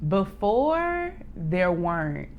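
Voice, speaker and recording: A young woman with a deep voice, chatting candidly as an interview guest, filling her talk with "like" and "um". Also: extremely slow, phone-quality sound, a little hiss or hum. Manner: relaxed, candid storytelling with frequent "like" and "um". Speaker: interview guest, poet and rapper. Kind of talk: casual interview chat.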